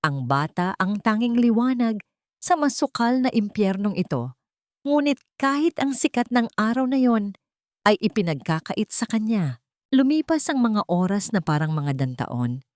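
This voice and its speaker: A woman's voice: mid-range voice, very animated, a little slow, darkish tone, no echo, studio quality. A woman audiobook narrator reading a scripted story in a dramatic storytelling style.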